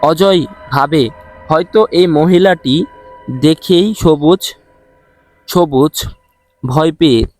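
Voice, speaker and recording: Male narrator, horror audio story. Slightly high voice, halting delivery with repeats, music underneath. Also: darkish tone. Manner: halting, often repeats words. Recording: music behind the voice.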